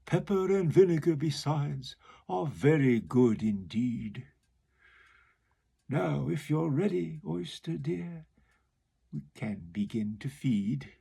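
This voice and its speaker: A man reciting a poem and voicing a sly, greedy old male walrus in a breathy, half-whispered coaxing manner. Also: a little high, lively intonation, takes it slowly, dark tone, heavily acted.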